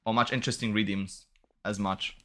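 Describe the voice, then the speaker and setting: Bright-voiced man streaming casually. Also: lowish voice, fairly even intonation, brisk. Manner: slangy, chatty, informal. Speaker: game streamer. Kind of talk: casual livestream commentary, reading game dialogue aloud.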